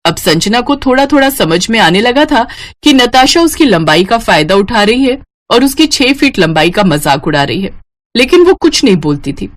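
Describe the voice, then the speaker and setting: A woman's voice: on the high side, sing-song, moderate pace. A woman storyteller reading a scripted tale.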